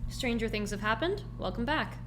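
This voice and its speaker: Audio drama, actress as outspoken young woman colleague, highish voice, casual and teasing, noisy humming recording. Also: expressive, normal speed, close to an everyday voice. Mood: bored, friendly. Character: Chris, outspoken, easygoing young female lab coworker.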